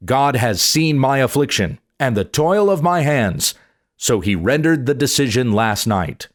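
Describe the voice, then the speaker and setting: A man's voice: medium pitch, lively intonation, unhurried, close to an everyday voice. A man reading scripture aloud in the part of an aggrieved, indignant herdsman patriarch, with emphatic, declamatory delivery. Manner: declamatory, emphatic, rhetorical questioning. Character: aggrieved, indignant male patriarch, a herdsman protesting twenty years' service.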